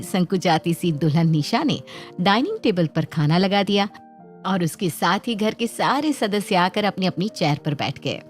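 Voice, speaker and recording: A woman narrating a scripted children's family story as a storyteller. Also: on the low side. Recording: background music under the voice.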